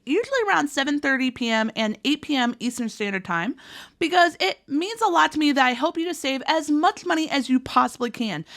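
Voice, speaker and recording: A woman's voice: high voice, fairly bright tone. A woman, hosting a couponing channel and chatting through deals while losing her voice. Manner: bubbly and chatty, listing items and prices. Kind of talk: upbeat scripted deal rundown.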